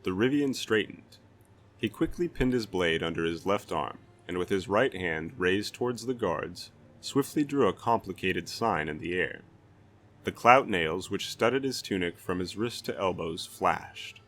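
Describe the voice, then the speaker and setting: Low, bright-edged male fantasy storyteller. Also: animated, unhurried. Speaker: audiobook reader. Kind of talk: dramatic scripted narration of fantasy fiction.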